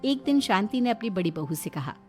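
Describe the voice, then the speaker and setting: A woman's voice: mid-range voice, very animated, brisk, a little mellow, faint music behind. A woman storyteller reading a scripted moral tale as narration.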